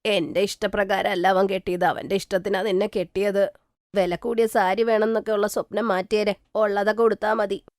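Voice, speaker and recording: A woman's voice: mid-range voice, little pitch movement, a little fast, darkish tone, dry recording, clean studio sound, natural voice. A woman voicing a stingy, cutting older mother-in-law who scolds her daughter-in-law. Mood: scolding. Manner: scolding, dismissive.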